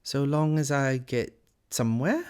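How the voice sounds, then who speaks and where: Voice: mid-range voice, animated, a little slow, darkish tone, clear, resonant tone, pitched above the performer's own voice, natural voice. A timid little storybook girl voiced by a narrator, polite and puzzled. The voice lifts above the reader's own and moves up and down, with hesitant, questioning phrasing. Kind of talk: storybook character voice.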